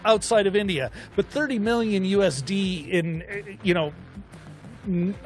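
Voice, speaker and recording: A man co-hosting a film discussion show, chatting casually in a rambling, animated way as he thinks aloud. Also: sits high. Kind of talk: casual chat.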